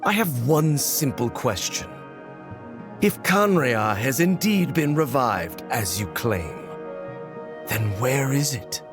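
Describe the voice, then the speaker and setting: An actor playing a stern, solemn warrior in a video game, speaking formally and severely with a gravelly voice. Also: male voice, mid-range voice, very animated, slow. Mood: cold disdain. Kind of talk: dramatic video game voice acting. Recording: game music behind the voice.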